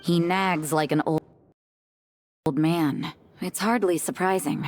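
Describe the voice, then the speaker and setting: An actress playing a worldly, sardonic church sister in a fantasy game, with a dry, composed and knowing delivery. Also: female voice, fairly low pitch, steady tone, slow pace, a little mellow, soft background music. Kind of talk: voice acting for an anime-style fantasy video game.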